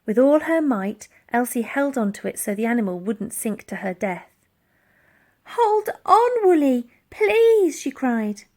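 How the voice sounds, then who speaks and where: A woman reading a children's story aloud in an expressive, dramatic storytelling style. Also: fairly high pitch, moderate pace, few pauses. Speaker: audiobook reader.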